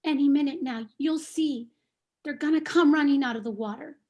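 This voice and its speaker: A woman's voice: high-pitched, normal speed, warm tone. A woman playing a hopeful little girl in a dramatic table read of a stage play. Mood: hopeful, insistent.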